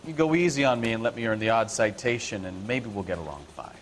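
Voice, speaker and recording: An actor playing a sardonic, streetwise veteran American traffic cop in a crime video game. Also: male voice, on the low side, fast, close to an everyday voice. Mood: wry, teasing. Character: wisecracking, touchy veteran traffic cop, needling his partner. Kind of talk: naturalistic crime game acting.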